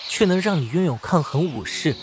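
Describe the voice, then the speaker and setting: A man playing a shrewd, ambitious young mecha-builder hero, assured and persuasive, in an animated fantasy drama. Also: on the high side, fairly quick, background noise, natural voice. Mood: cool, assured.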